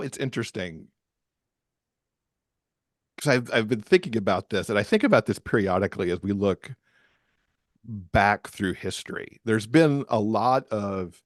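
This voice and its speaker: Chatty man, low-pitched, close dry sound. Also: no room sound. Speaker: podcast guest, author. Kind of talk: casual conversational interview.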